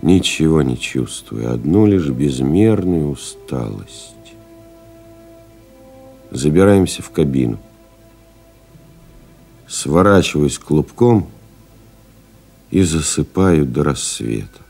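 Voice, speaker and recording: An actor playing a weary, stoic pilot stranded in the desert, narrating gravely and deliberately in an audio play, with music behind him. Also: male voice, very deep voice, animated, dark tone, full character voice. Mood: drowsy, dreamy. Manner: grave, deliberate, brooding, with pauses. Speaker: actor narrating in first person.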